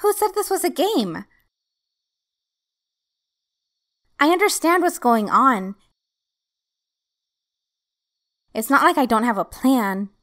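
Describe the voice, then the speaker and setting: A woman plays a headstrong young rebel girl from a video game. Her voice sits high, eager and insistent, in an amateur dub style. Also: lively intonation, normal speed, a bit bright. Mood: defiant. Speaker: fan dub voice actor.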